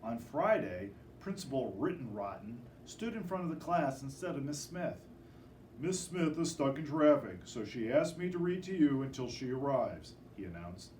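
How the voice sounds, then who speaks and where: A man reading a children's picture book aloud in an expressive, dramatic storytelling manner. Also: mid-range voice, normal speed, echoey room. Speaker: storybook reader. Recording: distant microphone with faint background noise.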